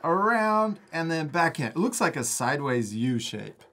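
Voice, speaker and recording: A man's voice: on the high side, big pitch swings, normal speed, fairly bright tone. A man hosting a step-by-step drawing lesson for children in a casual, encouraging manner. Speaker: art teacher and host.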